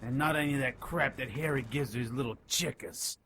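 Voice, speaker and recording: An actor playing a lecherous, melodramatic enchanted shopkeeper in an audio drama, whining and leering. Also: male voice, mid-range voice, a little fast, pushed and bright, slight background noise. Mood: boastful recounting. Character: sleazy, excitable male enchanted shopkeeper, panicky and lecherous.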